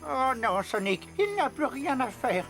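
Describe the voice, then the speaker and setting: A woman's voice: high voice, sing-song, moderate pace, fairly bright tone, faint music behind, heavily acted. A woman voicing a kindly, worried elder in a Christmas cartoon, speaking solemnly and expressively. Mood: despairing. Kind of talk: cartoon dub acting.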